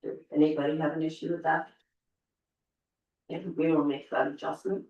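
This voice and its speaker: A council chair running formal meeting procedure in a brisk, procedural manner. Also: mid-range voice, flat delivery, a little hiss or hum. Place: council chamber. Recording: echoey distant room mic, dull.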